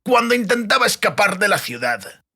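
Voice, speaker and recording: A man's voice: sits high, very animated, moderate pace, sharp tone, husky, voice pushed up, heavily acted. A man voicing an insistent male war veteran in a fantasy audiobook, emphatic and argumentative.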